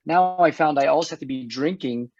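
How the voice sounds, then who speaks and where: Hoarse chatty man on a video call. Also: on the high side, brisk, darkish tone, dry recording. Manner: chatty, repeats and restarts words, laughs often. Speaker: host of an online health talk. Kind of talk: casual panel chat. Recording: dull dry video-call audio.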